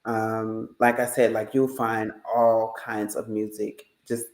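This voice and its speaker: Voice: medium pitch, little pitch movement, takes it slowly, a little mellow, slight background noise. An effusive podcast host chatting casually with a guest and speaking straight to the listeners. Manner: effusive, addresses listeners directly. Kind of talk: casual interview chat. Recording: roomy, echoey sound.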